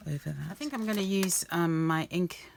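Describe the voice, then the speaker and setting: A woman with a low voice talks softly while she crafts, drifting through thoughts with ums and restarts. Her voice sounds a little croaky. A faint hiss sits under the recording, with quiet pen scratches. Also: normal speed. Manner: rambling, soft-spoken, many ums and restarts. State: slightly croaky voice. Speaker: art journaling vlogger. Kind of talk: casual craft-along chat.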